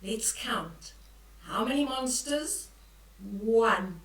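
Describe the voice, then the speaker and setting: An older woman reading a bedtime story aloud to young children, expressively, with drawn-out emphasis and questions for the listeners. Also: a little high, animated, fairly bright tone. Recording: echoey room, distant from the mic.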